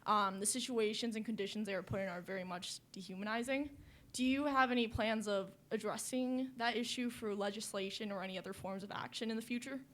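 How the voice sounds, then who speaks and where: A woman's voice: mid-range voice, steady tone, brisk, fairly bright tone. Young woman, mid-range voice, nervous audience questioner. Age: young adult. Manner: hesitant, filler-heavy. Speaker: audience member asking a question.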